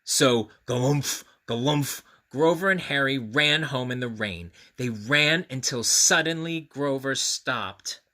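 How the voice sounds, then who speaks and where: A man reading a storybook aloud to children, expressive and earnest, often speaking directly to his young listeners. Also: mid-range voice, takes it slowly, few pauses.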